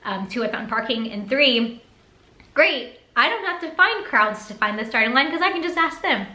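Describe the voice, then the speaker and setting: A young woman vlogger telling a casual story in a chatty, animated way, often acting out quotes. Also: sits high, moderate pace. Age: young adult. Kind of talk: casual story time vlog. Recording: roomy echo.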